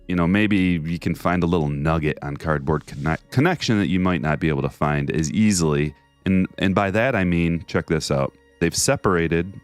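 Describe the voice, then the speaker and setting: Man, very low bass voice, animated up-and-down delivery, chatty and jokey, dry close mic, faint music underneath. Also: dry recording. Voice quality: deep bass voice. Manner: chatty, jokey, reads viewer messages aloud. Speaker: card break streamer. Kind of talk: casual livestream chat.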